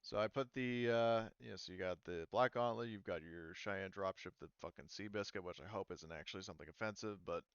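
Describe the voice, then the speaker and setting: Halting, clear-voiced man in dull audio. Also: low voice, no room sound. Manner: halting, explanatory, hedging. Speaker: game master. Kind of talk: explaining tabletop game rules in casual chat.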